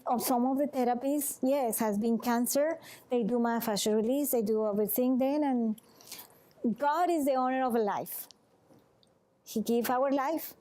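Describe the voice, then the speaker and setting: A woman from Venezuela speaks with a Venezuelan Spanish accent, her voice sitting a little high. She gives an informal health talk to a group as a massage therapist. Her phrasing is earnest and halting, often pausing to check in with the room. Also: dark tone.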